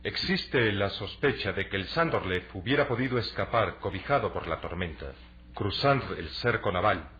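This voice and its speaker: A man playing an earnest young adventurer in a Latin American Spanish radio drama, explaining things deliberately and persuasively. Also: fairly low pitch, animated, a bit bright, slight background noise. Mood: explanatory. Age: young adult. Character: earnest young athletic adventurer selling a treasure hunt. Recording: dull, lo-fi radio drama recording.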